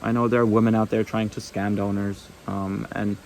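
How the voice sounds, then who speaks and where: A man's voice: fairly low pitch, flat delivery, moderate pace, a little mellow, a little hiss or hum, low-quality recording. A young man with a German accent, a German native speaker, talking casually to camera with many ums and restarts. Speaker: vlogger.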